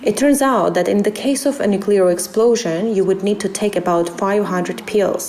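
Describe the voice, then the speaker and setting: A woman's voice: medium pitch, moderate pace, a little mellow. A woman reading a translated expert interview as a voice-over.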